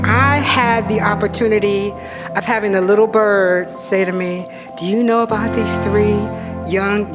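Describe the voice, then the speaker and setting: A woman presenting an arts panel, introducing the speakers and reading their bios. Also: mid-range voice. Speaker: event presenter. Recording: long hall reverb with music.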